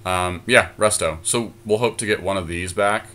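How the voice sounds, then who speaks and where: Very low-voiced man mulling over picks. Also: moderate pace, pushed and bright. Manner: thinks aloud with many ums, weighing options. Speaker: podcast co-host. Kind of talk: casual card-draft discussion.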